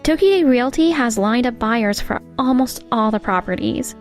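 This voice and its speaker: A woman's voice: fairly high pitch, expressive, moderate pace, lightly acted. A woman voicing a careful, perceptive young secretary in an earnest manga dub style. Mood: businesslike. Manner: earnest, professional. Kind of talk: manga dub dialogue acting. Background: faint background music.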